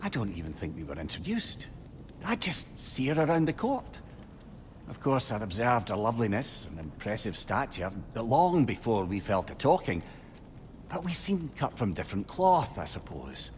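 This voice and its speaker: A man's voice: on the low side, sing-song, normal speed. An actor playing a witty, wistful old male advisor with a Scottish accent, telling stories lyrically and wryly in a video game. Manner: lyrical, wry, conversational. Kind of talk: storytelling video game dialogue. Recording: ambient noise behind voice.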